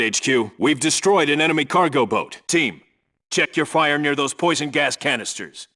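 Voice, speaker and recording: An actor voicing a no-nonsense Navy SEAL team leader in a military video game, giving clipped, businesslike, authoritative reports and squad orders. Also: male voice, on the high side, pushed and bright. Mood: firm, commanding.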